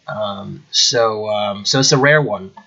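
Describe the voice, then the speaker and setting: Guy with a cold, kinda nasal, rambly chess chat from home, bright voice, bit of dull lo-fi sound. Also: on the low side, slow pace, gravelly, husky. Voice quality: slightly nasal. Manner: rambling with many fillers. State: congested from a cold. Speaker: chess channel host. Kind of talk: casual chess commentary. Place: at home indoors.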